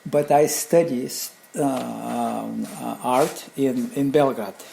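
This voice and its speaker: An older man from Bulgaria with a Bulgarian accent, telling stories casually in a halting, rambling but animated way. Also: mid-range voice, vocal fry, a little hiss or hum. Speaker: interview guest, craftsman and designer. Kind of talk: casual conversational storytelling.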